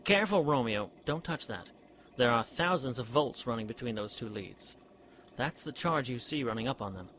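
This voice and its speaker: Male actor as eccentric scientist, earnest lecturer, mid-pitched, radio drama, light background noise. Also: animated, normal speed, fairly bright tone, natural voice. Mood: enthusiastic. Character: eager eccentric male scientist, brother of a detective. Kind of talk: period radio drama acting.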